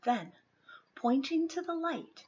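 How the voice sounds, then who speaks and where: A woman reading a children's picture book aloud expressively, with deliberate phrasing, dramatic pauses and emphasis. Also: high voice, big pitch swings, darkish tone, highs cut off, a little hiss or hum. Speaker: read-aloud storyteller.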